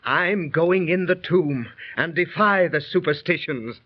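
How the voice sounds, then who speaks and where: An actor playing a defiant British lord and archaeologist in a theatrical, declaiming 1930s radio style. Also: male voice, on the high side, measured pace, very noisy, lightly acted. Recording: muffled 1930s radio recording.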